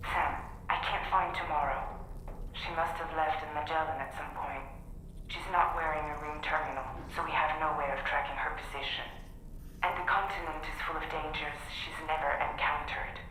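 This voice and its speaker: An actress playing a firm but caring female team leader in a video game cutscene, speaking earnestly and deliberately. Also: deep voice, fairly even intonation, bright, forceful tone, slight background noise. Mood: worried, pleading. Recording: echoey room, music underneath.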